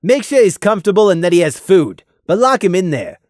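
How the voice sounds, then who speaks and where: A man's voice: sits high, very animated, brisk, a little mellow, dry recording, studio quality, voice pushed up. A man voicing a stern, brooding pony investigator who talks in a blunt, authoritative way. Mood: stern, commanding.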